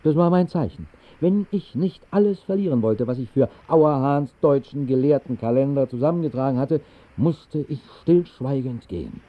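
An actor playing a whimsical night-owl who narrates an absurd dream in a radio play, with ornate, mock-solemn phrasing. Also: male voice, mid-range voice, expressive, moderate pace, close to an everyday voice. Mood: wry, dramatic. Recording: muffled, thin 1960s radio recording.

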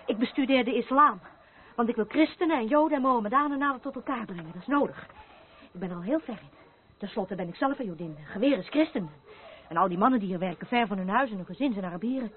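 An actress playing an intense, haunted woman in a Dutch radio drama, brooding, earnest and halting. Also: a little high, lightly acted. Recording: muffled, phone-like old radio play recording.

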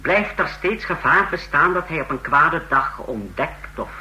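A man's voice: on the high side, expressive, measured pace, pushed and bright, exaggerated voice, low-quality recording. An actor playing a hesitant secret police chief in a radio play, deferential to his ruler. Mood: cautious scheming.

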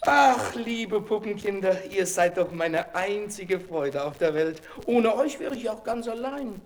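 A kindly old craftsman in a children's radio play. His slow, tender speech swings widely up and down, full of exclamations, as he talks like a lonely father to wooden children. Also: sits high, animated, background noise. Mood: tender, cheerful.

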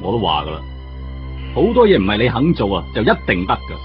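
Low, world-weary Hong Kong detective. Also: male voice, huge pitch swings, quick pace. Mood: bitterly resigned. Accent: Hong Kong Cantonese. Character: world-weary male veteran police detective, resigned and defensive. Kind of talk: Cantonese radio drama acting, colloquial. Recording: muffled radio drama recording. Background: music.

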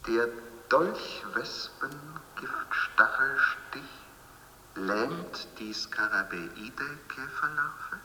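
An actor playing a sinister, deliberate naturalist who narrates scientifically in an experimental radio play. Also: male voice, fairly low pitch, sharp tone, a little hiss or hum, heavily acted, poor audio.

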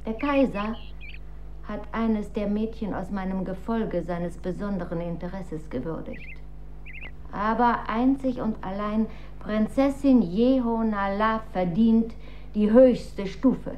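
Radio drama role: imperious dowager empress played by a woman, formal and coolly manipulative, very unhurried, slightly muffled recording. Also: medium pitch, natural voice. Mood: stately, insistent. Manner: formal, stately, measured delivery. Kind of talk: German radio drama acting. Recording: dull recording with faint noise.

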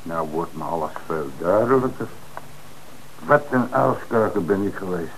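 An actor playing an eager, insistent police detective in an old radio play, on a noisy old radio recording. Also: male voice, deep voice, animated, normal speed. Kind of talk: 1960s radio play acting.

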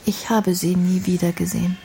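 A woman's voice: lowish voice, level tone, moderate pace, dark tone, faint music behind, lightly acted. An actress playing an intelligent, outspoken young woman in a radio play, speaking in an articulate, reflective and earnest way. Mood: subdued.

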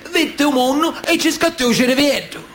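An actor playing a wily, indignant, semi-literate Sicilian mafioso with a Sicilian accent, pleading emphatically to a judge in a theatrical radio monologue with drawn-out pauses. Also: male voice, very high voice, expressive, fairly bright tone, slight background noise, exaggerated voice. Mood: mocking, contemptuous. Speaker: stage actor.

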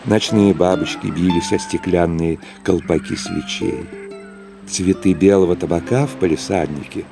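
An actor narrating a classic literary tale in an audio drama in a measured, lyrical manner. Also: male voice, low voice, steady tone, gravelly. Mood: calm, lyrical. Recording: guitar music behind narration.

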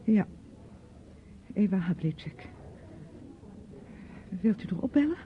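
On a dull, faintly noisy old radio play recording, a young woman, a dutiful niece. She speaks in a mid-pitched voice, polite, reluctant and questioning. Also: animated, takes it slowly. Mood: reluctant, uneasy. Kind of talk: radio drama acting.

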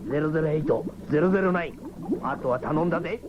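Man as an excitable high-pitched cyborg hero. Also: fast, close to an everyday voice. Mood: urgent. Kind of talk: 1970s radio drama acting. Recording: noisy, dull 1970s radio recording.

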